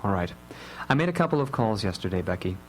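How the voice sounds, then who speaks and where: A wry, protective dad played by a man, speaking deliberately with dry humour. It is radio drama acting on a live recording with a faint hum behind it. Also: on the low side, very animated, low-quality recording. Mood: serious, protective. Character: wry, calm, protective father of three.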